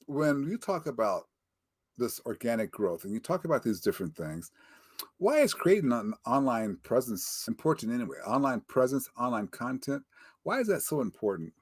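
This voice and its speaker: A man's voice: mid-range voice, very animated, moderate pace, husky, no room sound, clean studio sound. An older man hosting a casual interview over a video call, chatty and joking. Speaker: interview host. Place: home office in Denver, Colorado.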